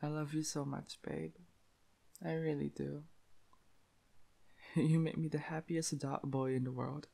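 A man's voice: on the high side, level tone, measured pace, long pauses, dark tone. A man voicing an affectionate, shy young dog-boy boyfriend in an intimate roleplay, with a lisp and hesitant, cutesy delivery. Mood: tender. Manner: breathy giggling, hesitant stammering, cutesy. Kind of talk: intimate roleplay audio acting.

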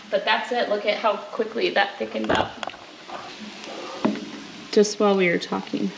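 A woman in her thirties walks through a home recipe in a relaxed, chatty way, explaining each step as she goes. Her voice sits at an ordinary middle pitch. Pots, pans and cutlery clatter clearly around her in the kitchen. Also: a little hiss or hum, low-quality recording. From Alaska. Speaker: homestead vlogger. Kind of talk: casual cook-along vlog. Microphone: handheld or mounted camera, moving around. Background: clattering pots, dishes and cutlery.

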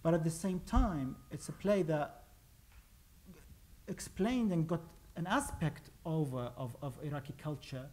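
A man's voice: a little high, a little slow, warm tone. A man in his forties from Iraq, a playwright giving a talk about his plays, hesitant with many restarts. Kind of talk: lecture on his own plays. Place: lecture stage before a pale wall.

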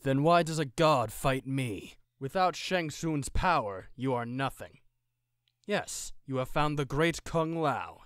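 A man's voice: mid-range voice, expressive, slow pace, a bit bright, smooth, dry recording, clean studio sound. A man voicing a proud young martial-arts monk from a video game, earnest, formal and confident. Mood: proud resolve. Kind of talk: fan impression of video game fighter.

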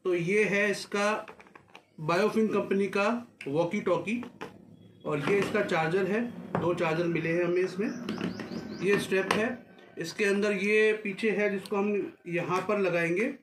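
A man with a fairly high voice presents a product in a casual, hands-on way. He is a few feet from the camera in a small room, with clear echo and faint noise behind him. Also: steady tone, a little slow. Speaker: vlogger presenting a product unboxing. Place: small furnished office room, indoors. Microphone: camera a few feet away across a desk.

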